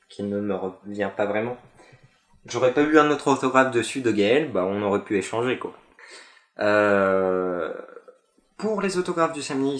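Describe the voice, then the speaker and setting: A young man chats casually to camera in a lowish voice. He stumbles through fillers and restarts. The room around him rings with echo. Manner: hesitant, many fillers and restarts. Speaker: vlogger. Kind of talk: casual chat about a fan convention.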